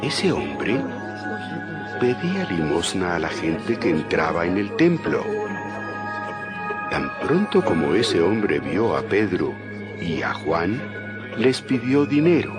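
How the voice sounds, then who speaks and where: A man narrating a dramatized Bible story in an expressive storytelling manner. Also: low-pitched, slow pace, pauses often, over loud music.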